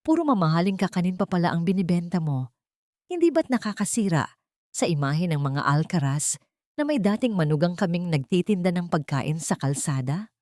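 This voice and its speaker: A woman's voice: on the low side, animated, normal speed, warm tone, no echo, studio quality, lightly acted. A woman voicing a haughty, spiteful elderly rich mother-in-law in a scornful, domineering manner for an audio story. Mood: sneering.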